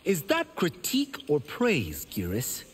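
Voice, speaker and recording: A wary, sharp warrior in a fighting game. The fairly high voice swings widely in pitch, with very loud noise around it. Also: male voice, wildly swooping pitch, measured pace. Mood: wary, challenging. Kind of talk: scripted game dialogue.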